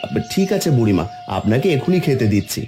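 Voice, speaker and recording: Man playing mellow, struggling cartoon eatery owner. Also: medium pitch, big pitch swings, a little fast, soft background music, close to an everyday voice. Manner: worried. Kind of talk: cartoon voice acting.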